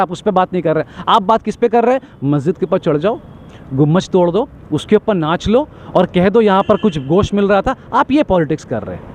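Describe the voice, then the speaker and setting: A man giving an impassioned street interview, talking emphatically in long repetitive lists and stammering when agitated. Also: sits high.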